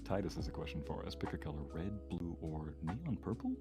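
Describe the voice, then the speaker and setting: A man's voice: low voice, animated, brisk, over loud music. A man chatting casually as a guest on a livestream, joking and chipping in.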